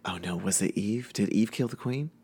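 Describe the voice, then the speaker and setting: A woman playing a tabletop character, quick and joking. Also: extremely low pitch, dark tone, husky. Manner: quick, joking, lots of laughter. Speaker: player. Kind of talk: in-character roleplay at a tabletop game.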